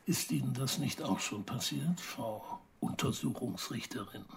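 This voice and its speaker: An actor playing an evasive, touchy journalist under questioning in a naturalistic radio crime drama, with a gravelly, husky voice. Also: male voice, medium pitch, lively intonation, unhurried, exaggerated voice. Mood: guarded.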